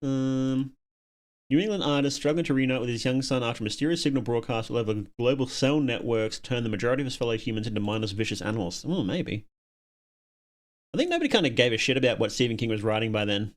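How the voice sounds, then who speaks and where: Man, livestream host, casual chat, rambling, thinking aloud, opinionated, mid-pitched voice. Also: expressive, fast talker.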